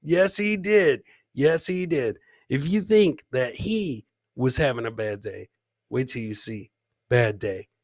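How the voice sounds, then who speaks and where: A man's voice: mid-range voice, lively intonation, takes it slowly, dry recording, clean studio sound. An American man in his forties doing casual, exclamatory live-stream commentary as a comedy host, often repeating his catchphrases. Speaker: comedian hosting a live clip show. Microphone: close boom studio mic with headset.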